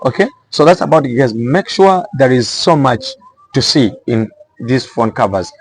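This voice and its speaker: A man's voice: mid-range voice, expressive, normal speed, slight background noise. A man with a Zambian accent giving small business advice in an informal explainer style, repeating phrases for emphasis.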